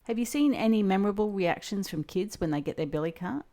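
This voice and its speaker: A woman's voice: mid-range voice, lively intonation, fast, warm tone. A woman reading scripted questions as an interviewer.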